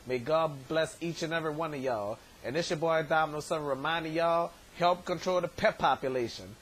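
Hoarse man vlogging from his bedroom. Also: a little high, brisk, fairly bright tone, slight background noise. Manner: rambling, many stammered repeats. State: hoarse, voice worn from shouting. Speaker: show host. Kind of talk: casual vlog shout-outs. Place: bedroom, indoors. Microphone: webcam at desk distance.

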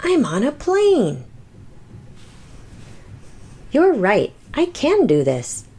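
Woman voicing an animated, dramatic little dinosaur. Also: sits high, very slow, slightly rough, voice pushed up, full character voice. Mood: boastful. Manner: exaggerated, expressive, emphatic. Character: dramatic, anxious little toy T-rex dinosaur, boastful but loving. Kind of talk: children's picture book read-aloud.

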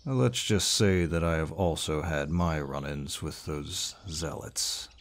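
An actor playing a large, gruff but kindly barbarian warrior in an audio roleplay, measured and reassuring, with a deep voice. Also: male voice. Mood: grave, weary. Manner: measured, reassuring, with pauses and sighs. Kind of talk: scripted audio roleplay, addressing the listener. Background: birdsong forest sound effects.

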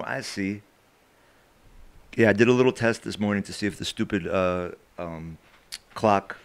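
A man in his thirties talking with his stream chat and freestyle rapping into a handheld mic in a small bedroom studio, chatty and quick with rhymes. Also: low voice. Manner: chatty, self-correcting, rhymes fast over a beat. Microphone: handheld mic held close. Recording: hip hop beat playing underneath. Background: hip hop beat.

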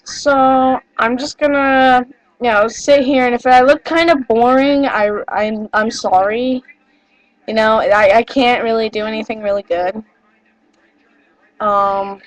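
A woman's voice: on the high side, dry recording, rough recording. A teenage girl rambling casually to her webcam, getting over a cold and sounding a little nasal, full of fillers and apologies. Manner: chatty, rambling, self-deprecating, many fillers. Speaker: vlogger. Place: small wood-paneled bedroom indoors. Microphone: built-in webcam microphone.

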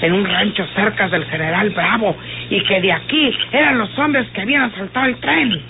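A woman's voice: mid-range voice, normal speed, lightly acted. An actress playing an earnest country woman in a radio drama, with a rural northern Mexican accent. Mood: urgent. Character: earnest rustic woman bringing word to outlaws. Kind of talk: vintage radio drama acting. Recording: tinny, hissy old radio recording.

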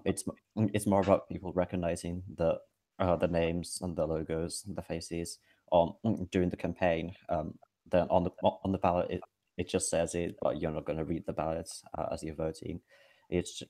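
A man in his twenties from Finland, a webinar panelist speaking over a video call in a halting way, with frequent restarts and wry laughs. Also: low-pitched, warm tone. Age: 20s. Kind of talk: casual discussion. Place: indoor room with bright ceiling light. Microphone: video call webcam.

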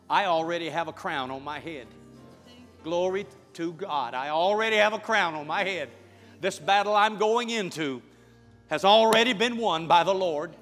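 A middle-aged male pastor preaching and praying fervently before his congregation, building to loud prayer. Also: high voice. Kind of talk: sermon and prayer. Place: large church sanctuary with congregation. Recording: church hall with music behind.

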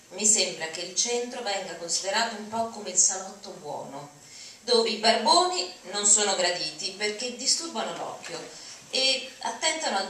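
A woman's voice: lowish voice, fairly bright tone. A middle-aged woman gives a dramatic reading of prose from a lectern. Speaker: reader of literary texts. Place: auditorium, at a lectern before an audience. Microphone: lectern mic, recorded from far camera. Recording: very echoey hall, distant mic, noisy.

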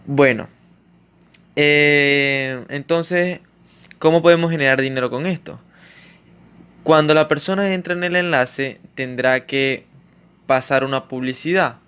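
A man's voice: a little high, unhurried, pauses often, fairly bright tone, smooth. Hesitant man narrating a screen tutorial. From Latin America. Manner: informal, hesitant, many fillers and restarts. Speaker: YouTuber explaining online earnings. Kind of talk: screen-recorded tutorial voice-over.

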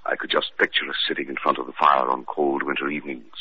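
An actor playing a hesitant, suspicious wealthy husband and art lover, stammering through an old radio detective drama. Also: male voice, very deep voice, level tone, slightly rough. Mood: wistful. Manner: halting, stammering with uh and well. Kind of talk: 1940s-50s radio detective drama acting. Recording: dull old radio recording.

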